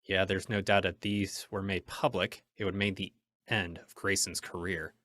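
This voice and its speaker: A man's voice: low-pitched, level tone, normal speed, sharp tone. A man acting a stern, commanding masked vigilante in an old-time radio drama, speaking in firm, menacing declarations. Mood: guarded, deliberate. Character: masked male crime-fighting vigilante, stern, commanding, resolute.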